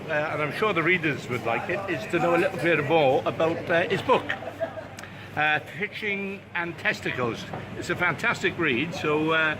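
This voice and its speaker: Chatty older Welsh-born man on a busy street. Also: fairly high pitch. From Wales. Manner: chatty, laughs often, many ums and uhs. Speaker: interviewer. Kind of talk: casual chat. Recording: outdoor street recording with crowd noise.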